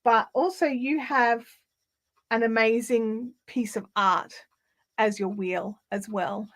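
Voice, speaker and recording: A woman hosting a casual craft livestream, chatting in a rambling way with lots of fillers and restarts, her throat slightly raspy. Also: a little high, fairly even intonation, slow. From Australia. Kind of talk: casual live chat while spinning. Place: home craft room.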